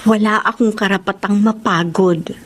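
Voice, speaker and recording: A woman's voice: mid-range voice, fairly even intonation, moderate pace, lightly acted. A woman playing a self-sacrificing young breadwinner and older sister in a Tagalog radio drama. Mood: weary, defensive. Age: young adult.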